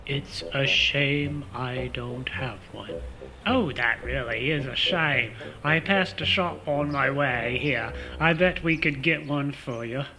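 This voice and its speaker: A man playing a dim, excitable spy with an affected British accent in a comedic radio play, noisy recording. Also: mid-range voice, slow pace. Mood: cheerfully clueless. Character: dim, excitable male spy posing as suave.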